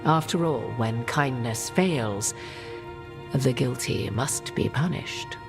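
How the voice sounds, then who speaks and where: A woman playing a regal, worldly-wise witch in a fantasy game, with formal, archaic and measured phrasing. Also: a bass voice, full character voice. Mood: measured, explanatory. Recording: music under the voice.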